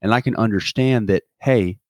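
A man's voice: lowish voice, moderate pace, a little mellow, dry recording, clean studio sound. A man in his twenties talking through a reflective solo podcast, earnest and rambling, with many restarts and fillers. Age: 20s. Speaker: podcast host.

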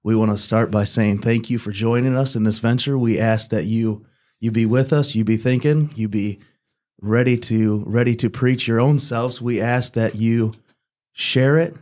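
A man's voice: mid-range voice, steady tone, moderate pace, darkish tone. A man in his twenties hosting a church podcast, speaking earnestly and praying aloud. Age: 20s. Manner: earnest, repeats "God" between phrases. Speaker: podcast host. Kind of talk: casual church podcast chat with opening prayer.

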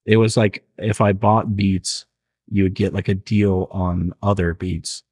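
A man's voice: sits low, flat delivery, unhurried, a little mellow, husky. A young man hosting a podcast, chatting casually with a friend, joking around and laughing often. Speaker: podcast host.

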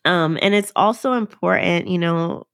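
A woman's voice: lowish voice, normal speed, creaky, a bit bright, dry recording, clean studio sound. A woman hosting her own podcast in a casual, earnest way, sounding nasal from a cold and using many fillers. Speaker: podcast host. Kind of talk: casual solo podcast talk.

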